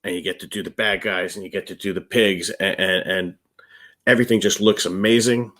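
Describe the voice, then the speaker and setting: Male co-host, middle-aged, on the low side. Loose, chatty review delivery that circles back and repeats words. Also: steady tone, brisk, fairly bright tone. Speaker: co-host of a board game review show. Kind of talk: casual chat, reviewing board games.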